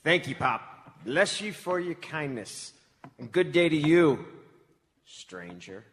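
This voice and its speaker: A man playing a gruff, crazy old mountain hermit with a folksy, surly way of talking in a comic live radio play. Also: on the high side, very animated, slow, exaggerated voice. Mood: gruffly grateful. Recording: echoey live hall, noisy with clicks.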